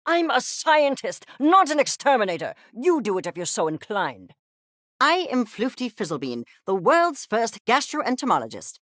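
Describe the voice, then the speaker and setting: An obsessive little creature of science for a video game. The delivery is pompous and clipped, with exact academic diction and lively swings in pitch. The temper is coldly curious and scornful, heard in a dry, close studio sound. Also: female voice, normal speed, dry recording. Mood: brisk, imperious. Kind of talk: video game character voice lines.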